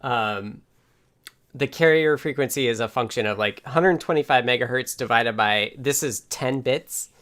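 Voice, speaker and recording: A man casually talking through live coding and electronics as a stream host, halting as he thinks aloud. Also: medium pitch, fairly bright tone. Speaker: livestream host and software developer.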